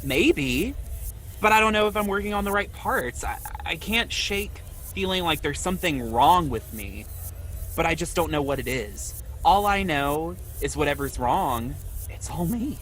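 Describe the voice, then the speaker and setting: An actor playing a lonely, ashamed man in his twenties, speaking in a halting, confessional way in an audio drama. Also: on the high side, lively intonation, fairly bright tone, faint music behind. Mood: self-blaming, anguished. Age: 20s. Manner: halting, confessional, repeats himself. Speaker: podcast host acting in own audio drama.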